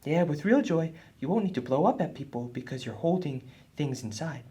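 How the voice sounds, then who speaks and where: A woman voicing an earnest, husky boy puppet who explains things helpfully in a children's puppet show. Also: deep voice, lively intonation, a little fast, dark tone.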